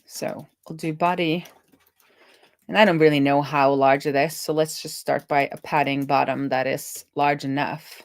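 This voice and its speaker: A woman's voice: low voice, fairly even intonation, normal speed, no echo. A woman live streaming a casual coding walkthrough, talking informally and thinking aloud to herself as she works.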